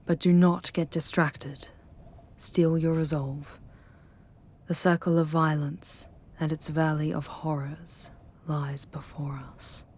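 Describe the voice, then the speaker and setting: A woman voicing an ancient, proud, wounded female demon who guides a traveler through hell in an ASMR roleplay, speaking softly and formally in a breathy, solemn, deliberate way. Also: sits low, little pitch movement, slight background noise. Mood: resolute. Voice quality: soft, breathy, husky.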